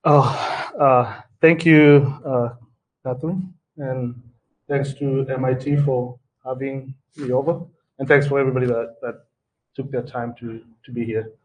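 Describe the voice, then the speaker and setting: A man from Kenya, a guest entrepreneur, telling his story to a live audience in a relaxed way with fillers and repeated words. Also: mid-range voice, a little slow. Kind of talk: personal story at a live talk. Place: event hall before an audience. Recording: distant mic with faint background noise.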